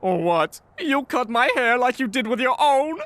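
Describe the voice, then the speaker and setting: Woman as a gloating, high-pitched villainous noble. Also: animated, slight background noise, close to an everyday voice. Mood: taunting. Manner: taunting, drawn-out theatrical delivery. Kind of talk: comedic fan-dub voice acting.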